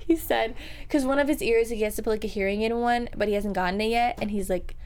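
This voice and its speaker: A young adult woman singer, talking loudly and chattily in an interview, full of fillers. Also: fairly high pitch, quick pace. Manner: chatty, many fillers, bursts of laughter; loud voice. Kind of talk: casual interview chat.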